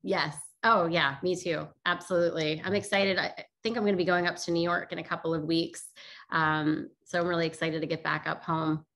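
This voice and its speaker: A woman with a New Jersey accent talks in a friendly, agreeable way and laughs easily. Her voice sits low for a woman but has a bright, forceful edge. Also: steady tone, a little fast. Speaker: guest. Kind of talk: casual chat.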